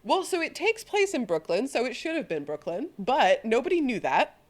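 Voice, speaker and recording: A woman's voice: high voice, big pitch swings, normal speed. A woman co-hosting a podcast in casual chat. She swears freely and often restarts her sentences. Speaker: podcast co-host.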